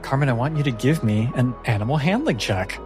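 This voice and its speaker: A man narrating a tabletop fantasy story as game master, in deliberate, suspenseful fragments. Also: medium pitch, expressive. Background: background music.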